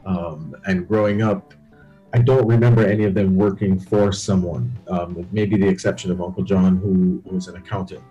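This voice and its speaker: A man's voice: sits low, fairly even intonation, moderate pace, mellow, lo-fi sound, faint music behind. A man from Barbados, a guest on a podcast, telling stories from his upbringing in a reflective way. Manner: reflective, storytelling. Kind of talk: interview conversation.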